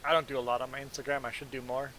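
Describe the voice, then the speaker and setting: A man's voice: mid-range voice, flat delivery, racing, sharp tone, a little hiss or hum. A man, an English speaker, chatting casually and self-deprecatingly with viewers as a game streamer. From Belize. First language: Plautdietsch (Mennonite Low German). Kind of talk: casual live-stream chat.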